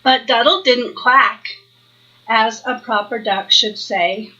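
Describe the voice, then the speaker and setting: A woman reading a children's picture book aloud in an expressive sing-song way, pausing to ask listeners questions. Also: on the high side, lo-fi sound. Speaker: storybook reader.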